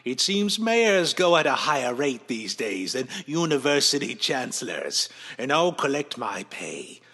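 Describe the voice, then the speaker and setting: Mad professor villain for radio drama: theatrical, gloating megalomaniac, husky and wildly swooping, in a reverberant hall. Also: male voice, medium pitch, sing-song, a little slow, sharp tone, full character voice. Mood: outraged. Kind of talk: old-time superhero radio drama acting.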